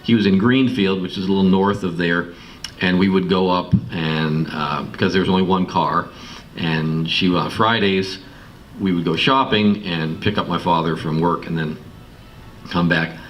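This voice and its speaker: Man, American accent, deep voice, informal personal storytelling, halting and reflective, distant noisy recording. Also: steady tone, lo-fi sound. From Massachusetts, United States. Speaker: guest speaker telling his life story. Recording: distant mic, clearly noisy room recording.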